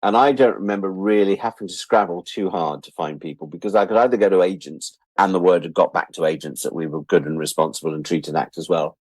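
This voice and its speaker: A middle-aged British man chatting casually as an interview guest, telling stories in an animated, self-deprecating, joking way. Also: low voice, quick pace, hardly any pauses, slightly rough, no echo. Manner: animated storyteller who acts out remembered exchanges. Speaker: interview guest, audio drama producer and director. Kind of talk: casual anecdotal interview talk.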